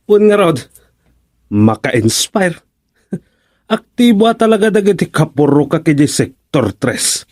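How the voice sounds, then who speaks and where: A man playing a cheerful, unhurried villager in an Ilocano radio drama-comedy. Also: fairly high pitch, very animated, a little mellow, exaggerated voice.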